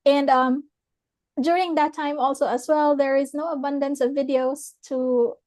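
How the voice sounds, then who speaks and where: A young woman with a Philippine English accent hosts an online session. Her voice sits high and she talks reflectively, pausing and restarting as she gathers her thoughts. The call audio is dry, with no room around it. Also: steady tone, darkish tone, no room sound. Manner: thoughtful, with frequent fillers and restarts. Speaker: webinar host. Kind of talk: reflective chat with a guest.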